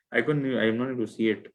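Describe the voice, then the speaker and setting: A man's voice: mid-range voice, darkish tone. A man hosting a podcast, speaking casually and trailing off hesitantly. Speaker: podcast host. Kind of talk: casual chat.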